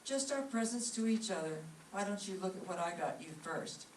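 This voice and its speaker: A woman's voice: mid-range voice, animated, fairly quick, darkish tone, natural voice. Woman acting a long-suffering wife, echoey and distant. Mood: placating. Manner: reproachful, patient, dry. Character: long-suffering, scolding yet affectionate wife. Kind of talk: old-time radio comedy acting on stage. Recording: roomy, distant mic with background noise.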